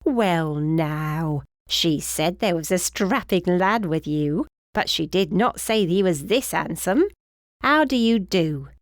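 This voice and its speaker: A woman's voice: on the low side, animated, takes it slowly, no echo, clean studio sound. A woman voicing a short, plump, cheerful older housekeeper with a British accent and a rambling, effusive, fussy manner in an audiobook. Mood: flirtatious, cheerful.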